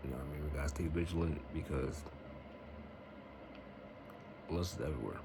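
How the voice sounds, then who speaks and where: A man's voice: steady tone, fairly quick, vocal fry. A young man vlogging in a casual, earnest and somewhat rambling way, giving faith-based advice. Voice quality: very deep voice. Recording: noisy home recording.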